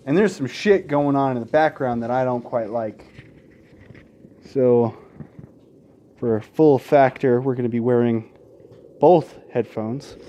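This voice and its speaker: A man's voice: medium pitch, dull audio. A man hosting a let's play in an informal, sweary way, mixing casual gaming commentary and asides with game text read aloud.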